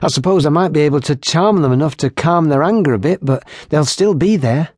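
A brisk, teasing young barmaid healer, as a man puts her on in an audiobook. The voice is raised high and stays husky. She scolds quickly in a lively way. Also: dry recording, studio quality.

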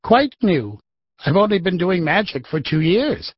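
Husky, animated, gentle magician. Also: male voice, sits high, unhurried, no echo, exaggerated voice. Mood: earnest, apologetic. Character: gentle, eager, bumbling male novice magician. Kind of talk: children's full-cast audiobook acting. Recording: dry, slightly dull audio.

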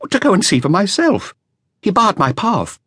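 A man's voice: high-pitched, wildly swooping pitch, normal speed, fairly bright tone, husky, no room sound, studio quality, voice pushed up, heavily acted. A man voicing a nervous, earnest young male flautist telling what he witnessed. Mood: anxious. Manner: anxious, breathless, earnest.

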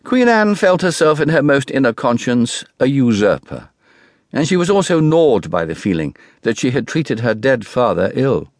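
A man with an English accent giving a formal, measured, oratorical reading of literary history as a narrator. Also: medium pitch, big pitch swings, no echo, studio quality.

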